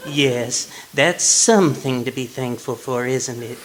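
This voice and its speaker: An actor playing a meek, cheerful henpecked husband in a polite, prim manner, in old-time radio comedy style. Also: male voice, mid-range voice, a little slow, close to an everyday voice. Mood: mildly resigned. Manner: polite, mild, prim delivery. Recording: live stage recording with background noise.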